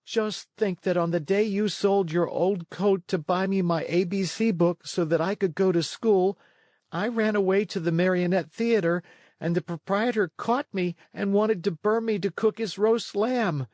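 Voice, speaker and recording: Man voicing an eager high-pitched wooden puppet boy. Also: normal speed, darkish tone, no echo, studio quality, close to an everyday voice. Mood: breathless, rueful. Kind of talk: animated storybook read-along.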